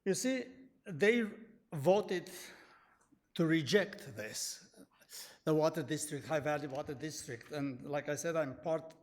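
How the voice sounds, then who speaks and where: Earnest Romanian-accented man, higher voice. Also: expressive, slow. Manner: earnest, self-deprecating, pleading. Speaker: member of the public commenting. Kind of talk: public comment at a county meeting.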